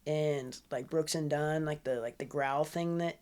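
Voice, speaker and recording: Young guy, kinda high nasally voice, rambly, says like a lot. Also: steady tone, a little slow, a little mellow, clean tone. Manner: rambling, many fillers like "like". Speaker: guest musician. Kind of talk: casual podcast chat.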